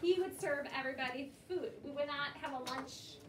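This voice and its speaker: A woman's voice: high voice, fairly quick, a loud crowd. A woman teacher leading a classroom discussion with young pupils, prompting them with questions and repeating their answers. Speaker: elementary school teacher. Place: indoor school classroom. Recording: roomy, distant mic, noisy classroom.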